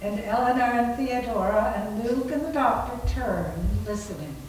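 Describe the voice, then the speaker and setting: A woman's voice: a little high, moderate pace, a little mellow. A woman narrating a scripted suspense story as part of a readers theater. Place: reverberant room at a library reading. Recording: very echoey, distant, noisy.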